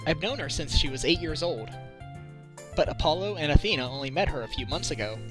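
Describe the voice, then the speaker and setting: A man's voice: on the high side, animated, a little fast, music in the background, lightly acted. A man in a game fan dub playing a good-natured defense lawyer with a wry, casual manner. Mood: friendly.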